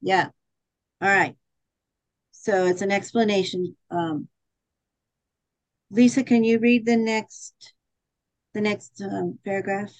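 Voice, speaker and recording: Slow, hesitant woman leading a discussion. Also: medium pitch, fairly even intonation, dry recording, low-quality recording. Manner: hesitant, with many pauses and fillers. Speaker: discussion group leader. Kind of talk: casual group discussion.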